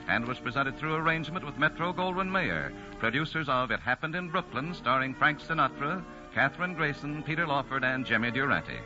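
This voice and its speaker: A man announcing formally from a script in 1940s radio style. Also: medium pitch. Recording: muffled vintage radio broadcast. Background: music.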